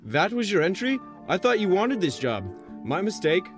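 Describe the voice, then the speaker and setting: A man's voice: high voice, very animated, normal speed, soft background music. A man playing a brusque, scornful magazine editor in a comic radio drama, impatient, blustering and sarcastic. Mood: dismissive.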